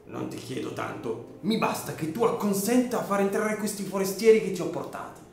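A man's voice: high voice, very animated, a little fast, gravelly, darkish tone, slight background noise, exaggerated voice. A man playing a bold, persuasive young coachman in a radio drama, insistent and familiar in manner. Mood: confiding, firm. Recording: very echoey room.

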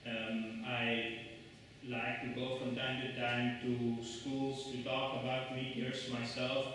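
A man's voice: mid-range voice, completely flat delivery, slow pace, fairly bright tone, slight background noise. A middle-aged man whose first language is Dutch, giving a hesitant conference talk in a lecture hall with many ums and restarts. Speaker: conference speaker. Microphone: lectern mic, far camera. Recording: echoey hall from far off.

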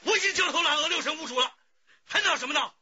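A high-pitched, frantic, stammering father. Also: very fast, dull audio, background noise. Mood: angry frustration. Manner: stammering, agitated. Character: frantic, flustered, pleading restaurant-owner father. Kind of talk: Chinese radio drama acting.

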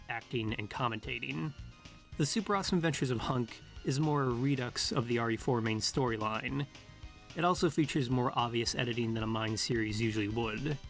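Measured male video essayist over music. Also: mid-range voice, fairly bright tone. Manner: measured, formal. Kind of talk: scripted analytical narration.

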